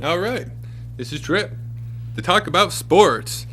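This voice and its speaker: A man's voice: high voice, slow, slight background noise, low-quality recording. A man co-hosting a public access TV show, chatting casually about sports and movies. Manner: relaxed, chatty. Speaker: co-host.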